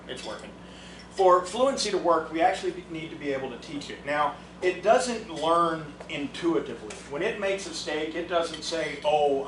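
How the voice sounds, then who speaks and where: A man with a slightly high voice runs a relaxed, chatty training session, often restarting his sentences. He stands well away from the microphone in an echoey meeting room. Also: a little hiss or hum. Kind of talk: casual training talk. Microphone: room mic, far from the speaker. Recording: echoey room recorded from far off.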